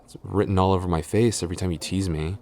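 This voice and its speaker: A man acting as a very low-voiced tsundere office boyfriend in an audio roleplay. He is shy and easily flustered, stumbling over his words as he talks. Faint ambient noise sits behind him. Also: steady tone, quick pace, darkish tone. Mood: shy, embarrassed. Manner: stammering, hesitant, easily flustered.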